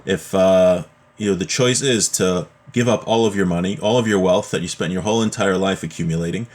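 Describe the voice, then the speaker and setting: A man's voice: low-pitched, fairly quick, darkish tone. A man giving an informal religious lesson, reading from a text and explaining it point by point with rhetorical questions. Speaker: religion teacher giving a class.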